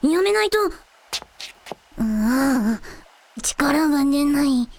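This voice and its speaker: A woman's voice: high-pitched, lively intonation, drawn-out words, darkish tone, slight background noise, full character voice. A woman playing a childlike, brave young dragon prince in a radio drama, with quaint, old-fashioned speech. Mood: defiant, strained. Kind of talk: Japanese radio drama acting.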